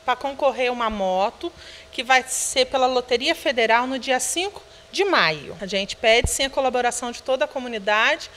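A Brazilian woman in her thirties speaks earnestly into a handheld news mic. Her voice sits high, and the indoor room adds a long reverb tail. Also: moderate pace, fairly bright tone, a little hiss or hum. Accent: Brazilian Portuguese. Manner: earnest, appealing, uses filler "né". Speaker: charity director. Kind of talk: news interview. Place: indoor room of a children's home. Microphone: handheld reporter microphone.

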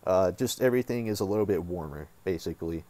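A man hosting a casual software tutorial, talking informally and thinking aloud as he works. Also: low-pitched, fast talker, no echo. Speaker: tutorial host.

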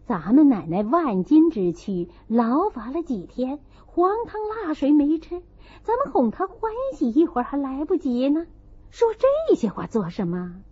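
A woman voicing a placating young woman of the household, coaxing and gently chiding in an audiobook story. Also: sits high, dull audio.

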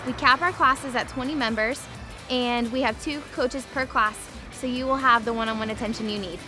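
Bright high-voiced young woman coach. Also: fairly even intonation, normal speed. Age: young adult. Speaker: dietitian and assistant coach. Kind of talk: promotional interview. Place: outdoors by a gym garage door. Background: music.

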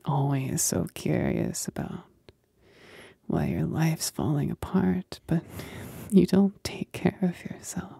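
A possessive female demon, played by a woman, whispers in an ASMR roleplay. Her voice is husky and breathy, drawn out very slowly and edged with creak. She teases and commands with syrupy condescension. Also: sits low, big pitch swings, mellow, no room sound, studio quality, heavily acted. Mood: coaxing, commanding. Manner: slow, deliberate, condescendingly sweet.

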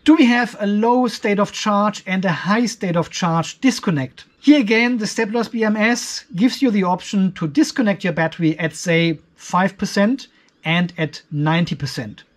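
A man with a distinctly high voice and as his walks through a technical comparison. He sounds like a video host in his fifties, explaining details step by step and giving his own opinions. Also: normal speed. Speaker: video host, off-grid tech reviewer. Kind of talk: explanatory tech review over a spreadsheet.

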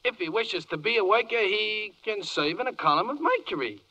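A zealous male spokesman declaiming rhymes in an old radio play. His voice is high and piercingly bright, leaping about eagerly, with the dulled sound of a 1940s broadcast. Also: very animated, highs cut off, full character voice. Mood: eager, declamatory. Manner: declamatory, rapid-fire patter. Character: zealous, rhyming salesman-like spokesman for minerals.

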